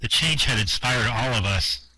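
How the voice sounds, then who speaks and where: A man narrating a first-person story in an amateur radio drama with steady prose reading. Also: medium pitch, moderate pace, breathy edge, low-quality recording.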